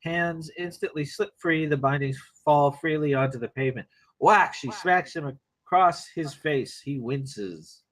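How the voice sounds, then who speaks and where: A man's voice: a little high, measured pace, a bit bright. A man reading stage directions aloud as narrator of a screenplay table read, in a dramatic present tense.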